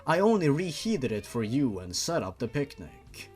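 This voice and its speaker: A stately supernatural father from a horror fan story, acted out by a male reader, speaks formally and precisely. His measured phrases swing widely in pitch, with faint music behind. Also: big pitch swings, darkish tone, husky.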